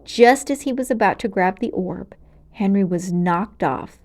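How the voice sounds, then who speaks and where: Female storyteller, middle pitch, expressive read-aloud, dramatic, clear pacing, children's adventure narration. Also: measured pace.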